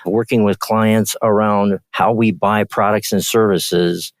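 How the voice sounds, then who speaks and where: A man speaking as a podcast guest, in a relaxed and businesslike way. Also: fairly low pitch, unhurried, no room sound. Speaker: podcast guest, payments executive. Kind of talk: podcast interview.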